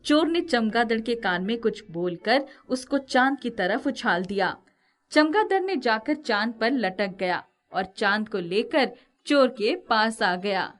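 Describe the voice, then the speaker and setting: Higher-pitched woman narrating a story. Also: lively intonation, unhurried.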